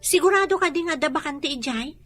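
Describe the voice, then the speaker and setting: A woman's voice: high voice, expressive, quick pace, a bit bright. A woman with an Ilocano accent playing a chatty young country friend in a radio drama.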